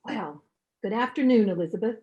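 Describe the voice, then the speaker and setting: A woman's voice: mid-range voice, animated, fairly quick, noisy background, lightly acted. A woman playing a nosy, haughty older mother-in-law in an old-time radio sitcom, with a fussy, sing-song, condescending manner. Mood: huffy, disapproving. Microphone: home microphone over video call. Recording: dull, lo-fi internet call recording.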